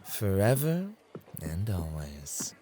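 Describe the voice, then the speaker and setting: A man's voice: sits low, big pitch swings, slow pace, dark tone, slight background noise, full character voice. A man playing a charming, gallant club host in an ASMR roleplay, flirty and teasing in an effusive, courtly way. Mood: warm, teasing.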